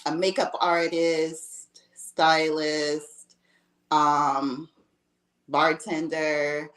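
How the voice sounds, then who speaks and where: A woman's voice: low voice, level tone, drawn-out words, fairly bright tone, echoey room. A woman from Washington, D.C., a makeup artist being interviewed, talking casually and laughing easily. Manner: casual, laughs easily, calls host girl.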